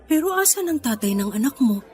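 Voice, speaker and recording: An actress playing a meek, devoted lover in a radio drama, speaking in a hesitant, stammering, earnest way. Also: female voice, sits high, very animated, fairly quick, mellow, faint music behind. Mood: hurt, doubtful. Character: meek, devoted, bewildered lover of a pregnant woman.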